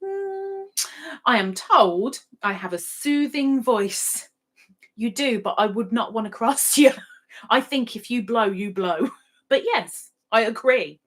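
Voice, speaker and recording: Slow-talking, higher-voiced woman livestream host. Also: animated, a bit bright. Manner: playful, chatty, sing-song asides, repeats thanks. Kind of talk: casual live chat, reading out viewers' adverts.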